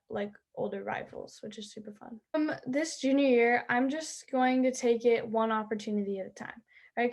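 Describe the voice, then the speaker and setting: A teenage girl who plays high school softball, chatting eagerly in an interview with lots of fillers. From Southern California. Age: teens. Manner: bubbly, chatty, many "like" and "um" fillers. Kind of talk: interview answers.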